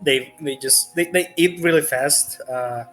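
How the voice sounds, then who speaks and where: Man, game master, slow halting delivery, improvised tabletop narration, faint music underneath. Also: fairly high pitch, fairly bright tone.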